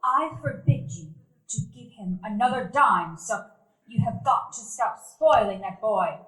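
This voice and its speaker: A woman's voice: a little high, animated, measured pace, fairly bright tone. A woman plays an elderly, stern spinster who scolds in a disapproving tone. Her voice echoes through a live theater hall. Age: older. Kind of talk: live radio play acting.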